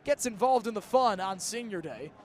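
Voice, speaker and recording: High-pitched young male football play-by-play announcer. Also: expressive, moderate pace. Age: young adult. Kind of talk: live college football radio play-by-play commentary. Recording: outdoor stadium broadcast with background noise.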